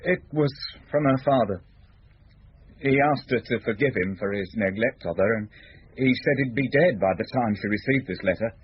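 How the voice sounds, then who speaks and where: An actor playing a shady bartender in a radio drama, husky and uneasy, explaining himself plainly and defensively. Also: male voice, lowish voice, normal speed. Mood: subdued. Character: shady, uneasy male bartender confessing as accomplice. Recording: muffled old radio broadcast.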